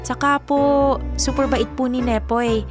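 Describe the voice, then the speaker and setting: A woman's voice: sits high, fairly even intonation, measured pace, music in the background. An actress playing a sweet, polite young Filipino girlfriend, gushing and giggly, in a radio drama. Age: young adult.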